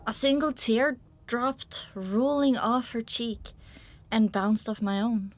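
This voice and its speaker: A woman's voice: fairly high pitch, slow. A woman reading dramatic first-person audiobook narration, with music playing underneath.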